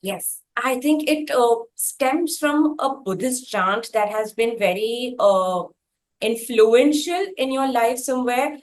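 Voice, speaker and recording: A woman hosting a conversational interview, speaking effusively in halting phrases with repeated words for emphasis. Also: on the high side, expressive. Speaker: interviewer, host.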